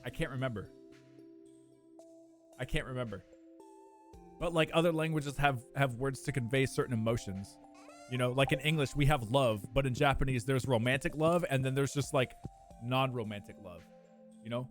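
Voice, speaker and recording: A young man, chatting casually with his live-stream viewers, animated and prone to squeaky bursts of laughter. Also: normal speed, long pauses, soft background music.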